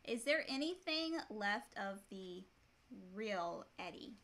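A woman's voice: mid-range voice, animated, slow pace, a bit bright. A woman in her thirties co-hosting a casual chat and reading questions aloud. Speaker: co-host reading fan questions. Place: small room indoors, on a couch.

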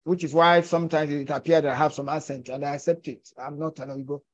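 An older man speaks in an emphatic, persuasive way, driving home each point. His voice sits high for a man. It comes through a muffled, dull-sounding video call. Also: level tone, fast. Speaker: filmmaker and actor discussing his film. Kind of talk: conversational storytelling. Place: indoors at home, virtual backdrop.

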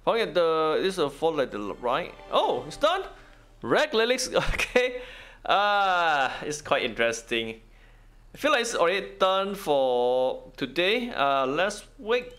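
A man giving casual game walkthrough commentary, thinking aloud in halting, non-native English. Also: fairly high pitch, big pitch swings, fairly bright tone. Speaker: gaming video host.

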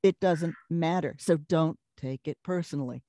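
A middle-aged woman with a Brooklyn accent and a deeper voice, telling lively anecdotes in a casual interview and laughing often. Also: moderate pace, no echo. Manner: animated storyteller, laughs often. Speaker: voice actress, guest.

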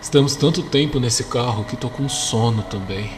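A man's voice: mid-range voice, normal speed, a crowd in the background, natural voice. A man voicing a drowsy, exasperated monster mascot in a Brazilian Portuguese game dub. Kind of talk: fan-dubbed game dialogue. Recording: faint vehicle noise behind.

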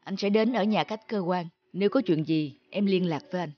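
An actor playing a weary, aggrieved husband and grieving father in an animated drama. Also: high voice, fairly quick, highs cut off. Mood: resigned.

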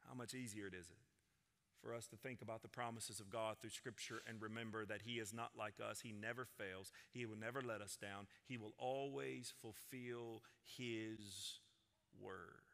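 A man in his forties, a senior pastor, preaching a sermon from a church stage, swinging between loud proclamation and hushed intensity. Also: on the low side, fairly even intonation, moderate pace, vocal fry. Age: 40s. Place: large church stage with piano and guitars. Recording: sanctuary with long reverb.

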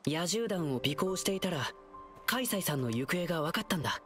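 A performer voicing a cheerful, confident young male hero in a game dub, with a lively, reassuring way of talking. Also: mid-range voice, brisk, natural voice. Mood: eager. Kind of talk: Japanese game dub acting. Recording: game audio with loud music.

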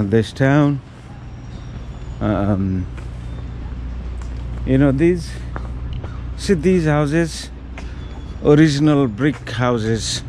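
A man's voice: medium pitch, mellow. A man in his fifties narrating a walking-tour travel vlog, hesitant with many ums, filmed on a handheld action camera outdoors. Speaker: travel vlogger. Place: outdoors on city streets. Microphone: action camera held at arm's length. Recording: outdoor street noise, traffic.

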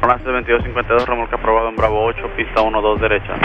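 A man giving brisk, formulaic clearances as an air traffic controller over aviation radio. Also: on the low side, level tone, highs cut off, over music.

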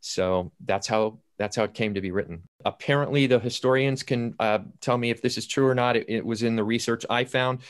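A man's voice: medium pitch, steady tone, brisk, hardly any pauses, smooth. A middle-aged or older man, a playwright, recounting his writing process anecdotally on a video-call panel, often quoting his own thoughts. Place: indoors at home, on a video call. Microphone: computer video-call audio.

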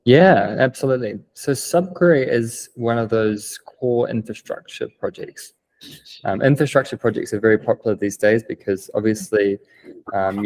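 A young man with a New Zealand accent talks on a video call through earbuds. His voice sits fairly low, and he explains eagerly with plenty of "um" fillers. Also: darkish tone, poor audio. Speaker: guest, business developer. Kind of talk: remote video interview. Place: plain-walled room indoors.

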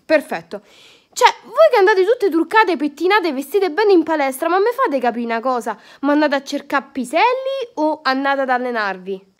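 A woman's voice: high-pitched, sing-song, normal speed. A young woman, ranting sarcastically to her phone camera from her bed with crude slang and lots of fillers. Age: young adult. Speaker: vlogger. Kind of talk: casual comedic rant to camera. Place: indoors, bedroom, lying on bed. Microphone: phone camera at arm's length.

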